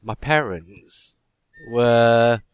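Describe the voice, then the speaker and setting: A man from Yorkshire, hosting a podcast with casual banter, drawn-out anecdotes and mock exasperation. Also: medium pitch, clean tone. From Yorkshire, England. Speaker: podcast host.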